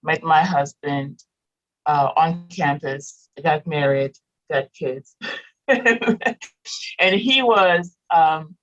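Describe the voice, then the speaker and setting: Lowish woman's voice, grew up in morocco, french native, casual chatty guest, muffled audio. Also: very slow, long pauses. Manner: chatty, laughs often, restarts sentences. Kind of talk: casual interview chat.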